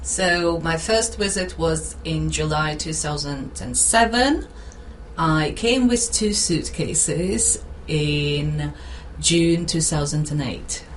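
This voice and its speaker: Middle-aged Latvian-accented woman guest, earnest and a bit nervous, low voice, faint hiss. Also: distant mic, low-quality recording. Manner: earnest, halting, nervous laughter. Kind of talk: radio interview.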